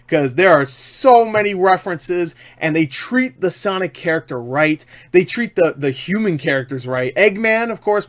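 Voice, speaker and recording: A man giving a casual, rambling movie review to camera, enthusiastic and jokey, often interrupting himself with little asides. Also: fairly high pitch. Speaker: online movie reviewer and host. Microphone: webcam setup.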